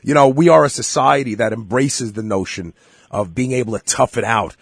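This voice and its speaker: A sick-sounding man hosts a radio health show, hoarse and husky. He talks in a chatty, emphatic way, full of fillers, and the sound is close and dry. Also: mid-range voice, normal speed, no room sound. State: hoarse from illness. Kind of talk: casual radio talk.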